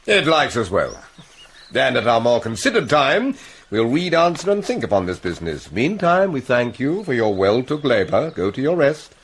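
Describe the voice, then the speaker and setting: A man's voice: mid-range voice, sing-song, normal speed, breathy edge. An actor playing a commanding, courtly middle-aged king with stately delivery in a classical Shakespearean drama. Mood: regal, welcoming.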